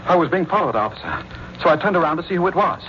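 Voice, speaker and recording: An actor playing a guilt-ridden judge and wife killer, narrating and speaking in dramatic, anguished 1940s radio style. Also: male voice, fairly high pitch, animated, racing, a little hiss or hum, close to an everyday voice. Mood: frightened, shocked. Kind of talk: 1940s radio acting, first-person narration and dialogue. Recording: muffled old radio recording with loud music.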